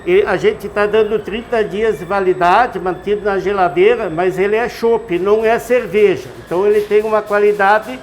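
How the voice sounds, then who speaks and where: A man, a master brewer explaining his craft in an unhurried, folksy, instructive way. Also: sits high, big-room sound, slight background noise. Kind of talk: explanatory interview answers.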